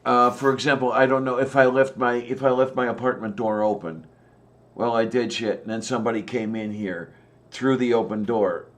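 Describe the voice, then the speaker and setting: A man co-hosting a casual chat, talking with many "um"s and pauses. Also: mid-range voice, fairly even intonation, fairly bright tone. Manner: slow, hesitant, many "um"s and pauses. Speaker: podcast co-host.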